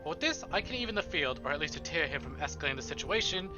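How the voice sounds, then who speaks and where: A man streaming a visual novel, expressive and informal as he chats and reads aloud. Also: a little high, very fast. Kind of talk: casual livestream chat and reading visual novel narration aloud. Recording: slightly dull livestream audio. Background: game music.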